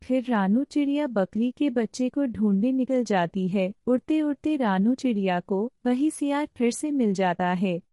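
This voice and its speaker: A woman's voice: on the high side, expressive, normal speed, hardly any pauses, mellow, no echo. A woman narrating a scripted children's story.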